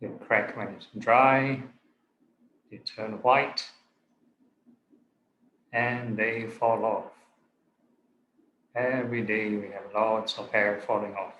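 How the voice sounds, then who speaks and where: A man, a Buddhist monk guiding a meditation in short, deliberate phrases with long pauses. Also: lowish voice, fairly even intonation, a bit bright, lo-fi sound. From Singapore. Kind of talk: guided meditation instruction. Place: online video call session in a room. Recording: roomy echo, clear background noise.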